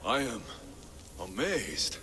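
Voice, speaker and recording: An actor playing a confident, plain-spoken mercenary hero in a video game, steady and reassuring. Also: male voice, medium pitch, slow. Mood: amazed, gentle. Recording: long hall reverb, faint noise.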